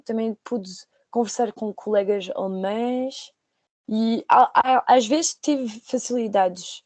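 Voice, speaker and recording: Woman, 20s, podcast guest. Soft-edged mellow tone, close dry sound, hesitant conversational delivery. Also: fairly high pitch, dry recording. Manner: hesitant, searching for words with repetitions. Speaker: podcast guest, former trainee. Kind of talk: conversational interview answers.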